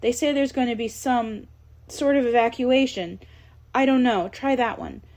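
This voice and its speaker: A woman's voice: fairly high pitch, normal speed, a little mellow, lightly acted. A woman voicing a panicked father leaving an urgent phone message while trapped, calling out and pleading over and over. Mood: desperate. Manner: urgent, pleading, breaking off into repeated calls.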